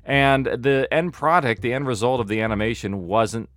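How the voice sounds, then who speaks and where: A man's voice: medium pitch, moderate pace, fairly bright tone, dry recording. A man speaking as an interview guest, chatting casually and explaining things through anecdotes. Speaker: interview guest, audio fiction producer.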